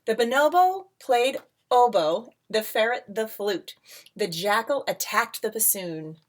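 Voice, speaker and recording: A woman reading a picture book aloud to children as a teacher, with dramatic emphasis, drawn-out words and casual asides. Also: on the high side, expressive. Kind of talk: expressive storybook read-aloud with casual asides. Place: at home.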